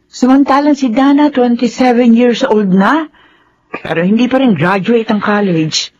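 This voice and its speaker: A woman's voice: fairly high pitch, unhurried, darkish tone. An actress with a Filipino accent playing a fretful, exasperated middle-aged mother in a radio drama. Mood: fretful, disapproving. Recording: dull lo-fi sound, faint noise.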